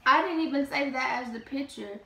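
A young American woman speaks in a high voice with casual, offhand slang. She sits back from a camera mic in an echoey, slightly noisy room. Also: a little fast, vocal fry, sharp tone. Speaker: vlogger's friend. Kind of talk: casual chat. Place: small home room. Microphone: camera mic, sitting back from it.

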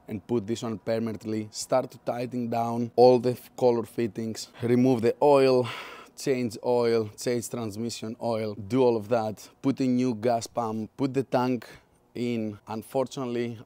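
A man's voice: medium pitch, a little mellow. A man in his thirties with a Greek accent, chatting upbeat about his car build from an outdoor driveway garage. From Greece. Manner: chatty, upbeat, non-native English. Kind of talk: casual workshop vlog.

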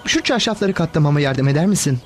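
A man's voice: fairly high pitch, expressive, fast, soft background music, lightly acted. An actor voicing a timid, mild-mannered male superhero sidekick in a cartoon dub, hesitant and fretful. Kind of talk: animated cartoon dub acting.

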